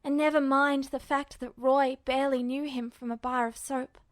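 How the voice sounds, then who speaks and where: A woman's voice: high-pitched, steady tone, moderate pace, no room sound, studio quality. A woman narrating a story as a scripted audiobook-style reading. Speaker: podfic narrator.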